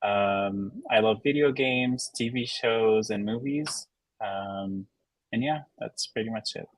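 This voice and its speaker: A man's voice: lowish voice, slow, no echo, low-quality recording. A man in his twenties, a panelist, giving a casual self-introduction. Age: 20s. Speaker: panelist, software developer.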